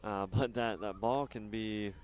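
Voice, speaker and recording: A man doing live soccer commentary outdoors, chatting casually and haltingly between plays. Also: fairly low pitch, steady tone, darkish tone, slight background noise. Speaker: sports play-by-play announcer.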